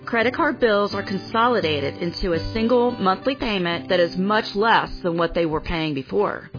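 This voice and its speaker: A woman's voice: mid-range voice, moderate pace. A woman debt counselor giving a sincere radio ad testimonial. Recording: lo-fi radio ad with music bed.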